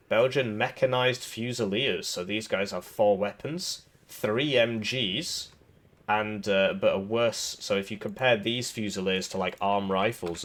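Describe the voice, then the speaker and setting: Throaty man with a cold, lowish voice. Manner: relaxed, rambling, many fillers and restarts. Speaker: gaming video creator. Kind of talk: casual gameplay commentary.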